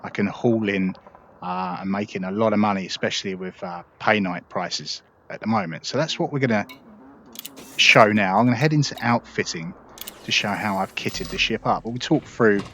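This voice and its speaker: A man's voice: fairly low pitch, normal speed, bright, forceful tone, a little hiss or hum. A man hosting a casual gameplay tutorial, explaining things in a chatty, upbeat way. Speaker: gaming video host.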